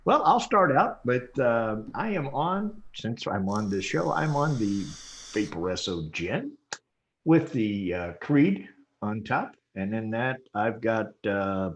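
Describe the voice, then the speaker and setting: A man's voice: lowish voice, big pitch swings, measured pace. A man with an American accent, a guest on a casual livestream, laid-back and playful with some mild swearing.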